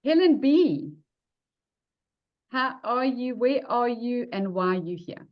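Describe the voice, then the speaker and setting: High lively woman host on a call, cheery, muffled lo-fi sound. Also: slow. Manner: upbeat, encouraging. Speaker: group coach hosting a video call. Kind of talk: casual video call.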